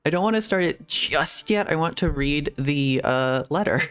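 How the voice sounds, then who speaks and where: A man's voice: on the high side, lively intonation, moderate pace, a bit bright, clear, resonant tone. A man streaming a video game, chatting and rambling casually and laughing often. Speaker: VTuber streamer. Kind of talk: casual gameplay stream commentary. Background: faint game music.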